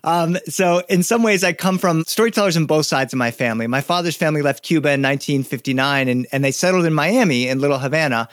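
A man who is a guest storyteller and educator, talking through his story in a relaxed interview, animated and anecdotal, with fillers and restarts. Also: a little high, fast talker, few pauses, no echo, clean studio sound. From United States.

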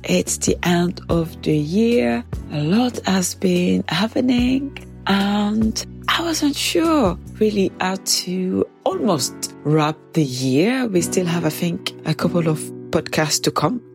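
A woman hosting a reflective solo podcast, speaking slowly and thoughtfully, her voice stuffy from a cold. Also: lowish voice, expressive, gravelly, soft background music. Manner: slow, measured, thoughtful delivery. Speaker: podcast host.

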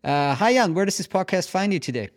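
A man hosting an interview podcast, asking questions in a casual, halting way with fillers. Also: high voice, animated. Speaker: podcast host.

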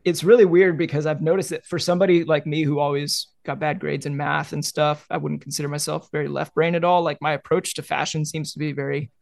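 A man's voice: on the high side, little pitch movement, fast talker, creaky, hardly any pauses, dry recording, clean studio sound. A young man chatting casually as a guest, rambling with many "like"s. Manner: rambling, heavy use of "like". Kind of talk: casual conversation.

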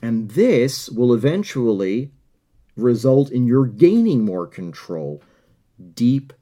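A man's voice: mid-range voice, dark tone. A middle-aged man hosting a podcast, giving an earnest motivational talk and often repeating words while he finds his phrasing. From United States. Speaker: podcast host. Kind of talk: motivational monologue.

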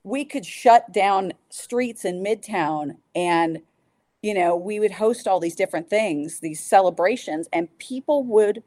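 A woman's voice: mid-range voice, unhurried, dark tone, no room sound. A woman talking casually and enthusiastically as a podcast guest, a sports public address announcer. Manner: enthusiastic, informal.

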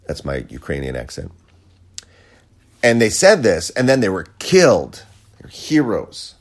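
A sarcastic American man rants through a podcast monologue, swearing freely. His voice sits fairly low but swings widely up and down as he mocks and jabs. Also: wildly swooping pitch, measured pace. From United States. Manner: sarcastic, profane ranting. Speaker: podcast host. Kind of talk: opinion monologue.

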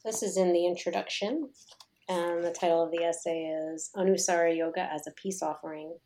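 Middle-aged woman, yoga author, reading her essay in a measured, low, level tone. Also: fairly even intonation, dark tone. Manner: slow, measured, reflective reading.

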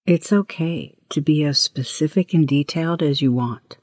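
A woman leading a guided meditation as host, with a hushed, breathy voice and gentle, unhurried instructions. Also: low voice, dry recording. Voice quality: breathy, husky, often near whisper.

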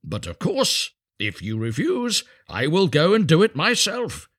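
A man's voice: on the high side, huge pitch swings, unhurried, fairly bright tone, pitched above the performer's own voice, heavily acted. A man voicing a pompous, self-serving miller in a storybook, preaching emphatically with false friendliness. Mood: affronted, pushy. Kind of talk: storybook character voice.

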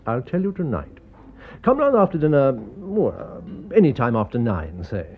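An actor playing a coolly scheming English gentleman surgeon in a radio drama, confiding and deliberate. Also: mid-range voice, wildly swooping pitch, phone-quality sound, a little hiss or hum. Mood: confiding, persuasive.

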